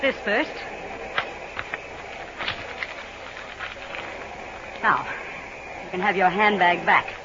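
A woman's voice: medium pitch, normal speed, close to an everyday voice. An actress playing a cunning, commanding female spy villain with a controlled, menacing manner in old radio drama. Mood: ruthless. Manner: controlled, menacing, crisp orders. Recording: dull old radio recording, noisy background.

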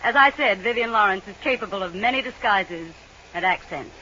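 A woman's voice: mid-range voice, animated, fairly quick, pushed and bright. An actress playing a cunning, commanding female spy villain with a controlled, menacing manner in old radio drama. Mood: cold menace. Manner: controlled, menacing, crisp orders. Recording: dull old radio recording, noisy background.

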